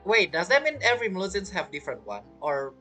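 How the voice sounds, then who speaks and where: A woman's voice: fairly low pitch, big pitch swings, fast talker, fairly bright tone, soft background music. A woman streamer giving casual game commentary, chatty and reacting aloud in short fragments. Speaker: gaming streamer.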